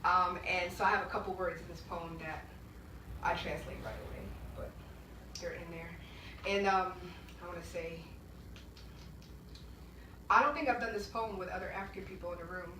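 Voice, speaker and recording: A young woman from Rwanda performing spoken-word poetry live in a small art gallery, with emphatic, rhythmic delivery and sudden loud bursts, between pieces chatting to the audience. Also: lowish voice, moderate pace, pauses often, a little hiss or hum. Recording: echoey gallery room, mic far off.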